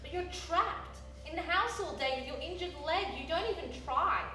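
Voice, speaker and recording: A woman's voice: very high-pitched, expressive, normal speed, pushed and bright, exaggerated voice. A woman playing a driven, stubborn young woman who runs a garage, emphatic and self-assured, in a stage radio play. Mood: defiant. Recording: echoey theatre, distant mic, music underneath.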